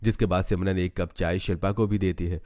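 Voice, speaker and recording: A man's voice: low voice, flat delivery, brisk, mellow. A man giving scripted narration for a cartoon story as its narrator.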